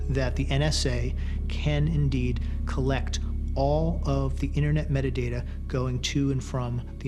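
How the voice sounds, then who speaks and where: An American man explaining things as an interviewee in a documentary. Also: medium pitch. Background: background music.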